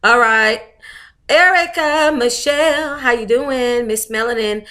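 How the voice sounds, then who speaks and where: A woman's voice: on the high side, a little slow, sharp tone. An American woman hosting a book club livestream, talking in a casual, chatty, slangy way with familiar endearments.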